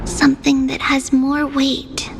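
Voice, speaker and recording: An actress playing a serene, cryptic holy maiden in a video game, solemn and measured like an oracle. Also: female voice, high-pitched, completely flat delivery, vocal fry, noisy background. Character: serene, cryptic holy maiden prophetess guiding young heroes. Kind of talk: scripted video game voice acting. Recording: loud game music behind.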